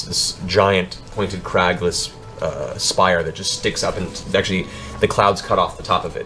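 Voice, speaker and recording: A game master narrates a tabletop fantasy in a low male voice. He builds scenes with descriptive, dramatic storytelling while music plays clearly underneath. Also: fairly bright tone.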